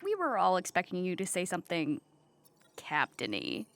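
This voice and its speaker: A woman playing an earnest, literal-minded starship officer in a comedic sci-fi play, dutiful but quick to get defensive. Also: fairly low pitch, wildly swooping pitch, gravelly, heavily acted.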